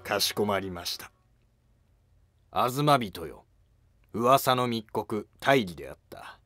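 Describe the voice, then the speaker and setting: A man acts a calculating nobleman of the ancient Japanese court. His voice is lowish and close, formal and scheming, over soft music in an animated history drama. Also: slow pace, no room sound. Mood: sly, commanding.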